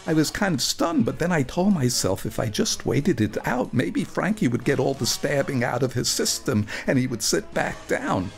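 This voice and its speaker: A man performing a first-person story for radio, with a wry, animated and earnest delivery. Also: medium pitch, moderate pace, vocal fry, hardly any pauses, faint music behind.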